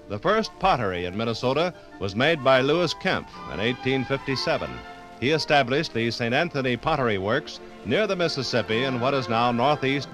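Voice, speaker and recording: A man's voice: medium pitch, rough recording. Formal male 1950s radio narrator. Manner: formal, measured announcer delivery. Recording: 1950s radio LP, faint music.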